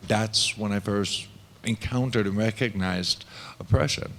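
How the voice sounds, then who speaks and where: A man with a fairly low voice speaks slowly and reflectively. He pauses often and fills the gaps with "uh" as he voices for a panelist at a panel discussion. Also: vocal fry, a bit bright.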